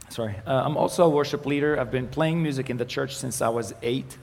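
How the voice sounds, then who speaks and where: A young man from the Galilee whose first language is Arabic, presenting his ministry to a church audience in a relaxed, joking manner, with many fillers and restarts. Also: mid-range voice, moderate pace, creaky. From Israel, Galilee. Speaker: ministry communications manager giving a presentation. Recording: echoey hall with faint noise.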